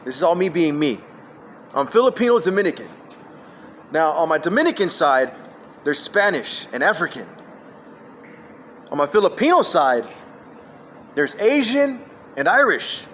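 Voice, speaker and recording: High-voiced man on a rambling rant. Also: sing-song, moderate pace, long pauses, a bit bright, slight background noise. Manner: jumps between topics, emphatic and scattered. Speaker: vlogger. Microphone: phone.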